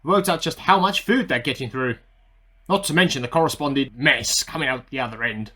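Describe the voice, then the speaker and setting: A man's voice: mid-range voice, fairly quick, sharp tone. A man playing an eccentric amateur scientist who earnestly records a self-important secret diary in a radio play. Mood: puzzled, deflated. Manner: earnest, self-important, musing aloud in dated log entries.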